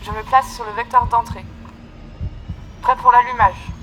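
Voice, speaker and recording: A woman's voice: on the high side, fairly even intonation, a little slow, fairly bright tone, soft background music, rough recording. A young woman playing a focused spacecraft pilot in an audio drama, giving terse technical readouts. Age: young adult. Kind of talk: scripted sci-fi audio drama. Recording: faint hum, vehicle ambience.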